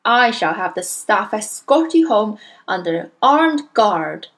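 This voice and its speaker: A woman's voice: medium pitch, big pitch swings, slow pace, a bit bright, full character voice. A woman voicing an enraged, tyrannical headmistress in a storybook, bellowing venomous insults and bullying threats. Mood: furious, contemptuous.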